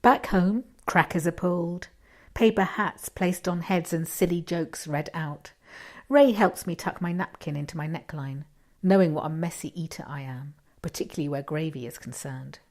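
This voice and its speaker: A woman reading a first-person short story expressively, with dramatic pauses and hushed asides. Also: fairly low pitch.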